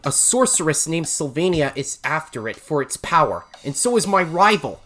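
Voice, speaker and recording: A performer playing a polite, steady young male animal adventurer in an audio drama, calm and courteous. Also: medium pitch, moderate pace. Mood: explanatory.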